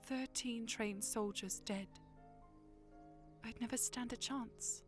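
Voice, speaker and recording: A woman's voice: a little high, fairly even intonation, slow pace, breathy edge, soft background music. A woman playing a proud but self-doubting young princess in an audio drama, speaking earnestly in formal wording. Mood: quiet, fearful.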